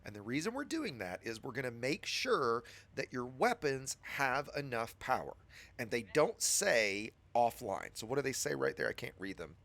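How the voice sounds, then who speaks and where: A man's voice: medium pitch, very animated, moderate pace, fairly bright tone. A man with a scratchy voice from a cold, patiently talking a new player through game setup step by step in a casual tutorial. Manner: patient, chatty instructor addressing viewers.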